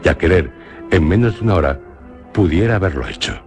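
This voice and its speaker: A man with a Spanish accent narrating a suspenseful radio drama in a slow, grave, measured way. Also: deep voice, big pitch swings, darkish tone, soft background music. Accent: Spain.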